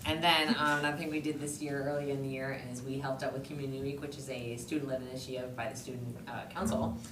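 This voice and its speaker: Very low-voiced teenage girl, echoey room. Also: flat delivery, fast. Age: teens. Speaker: student club member presenting. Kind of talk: informal group presentation. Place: indoor school board meeting room. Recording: echoey, noisy meeting room.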